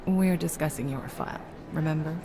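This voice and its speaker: An actress playing a calm, probing doctor who questions a patient in a game cutscene. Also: female voice, deep voice, fairly quick, background noise. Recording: game cutscene with loud music.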